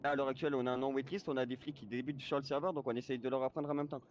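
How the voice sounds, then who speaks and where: A man speaks quickly at a medium pitch, arguing his point in an online role-play game chat. He lectures like a server moderator, spelling out the rules and checking that the listener follows. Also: flat delivery, muffled recording, a little hiss or hum. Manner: lecturing, repeatedly checks he is understood.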